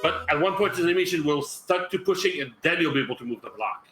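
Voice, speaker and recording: A man's voice: on the high side, animated, quick pace, fairly bright tone, a little hiss or hum. A Canadian man whose first language is French, chatting casually and explaining how he got through a game. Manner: chatty, upbeat, explains game mechanics in detail. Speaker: guest player. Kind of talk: casual chat. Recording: echoey room with music behind.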